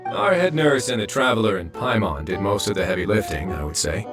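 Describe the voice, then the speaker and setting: A man's voice: lowish voice, animated, a little fast, music in the background, lightly acted. An actor playing a wry, easygoing male prison chief in a video game, casual and dryly teasing. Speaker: game character voice.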